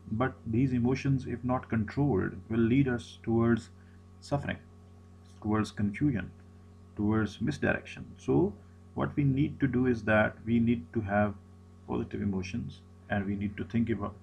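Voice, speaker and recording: A man's voice: medium pitch, normal speed, gravelly, darkish tone, highs cut off, slight background noise. A man, an Urdu speaker, giving a recorded lecture, often repeating himself and restarting phrases. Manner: repetitive, many restarts and fillers.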